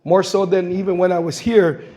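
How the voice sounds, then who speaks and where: High-voiced older man telling stories. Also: little pitch movement, moderate pace, dark tone. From Guam. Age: 60s. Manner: storytelling, acts out quoted voices. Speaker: public forum speaker. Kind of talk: impassioned public comment. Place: public meeting hall.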